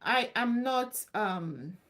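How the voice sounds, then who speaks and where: A woman's voice: fairly high pitch, expressive, drawn-out words, fairly bright tone. Chatty woman on a live stream. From Nigeria. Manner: chatty, loose and repetitive, reassuring. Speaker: live stream host answering visa questions. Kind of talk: casual live Q&A.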